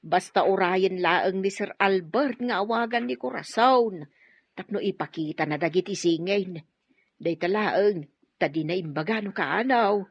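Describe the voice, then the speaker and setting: A woman's voice: medium pitch, measured pace, breathy edge, no echo, lo-fi sound. An actress playing a nervous, deferential housemaid in a radio drama, who keeps calling her employers sir and ma'am. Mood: flustered. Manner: deferential, flustered. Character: nervous middle-aged female housemaid, eager to please.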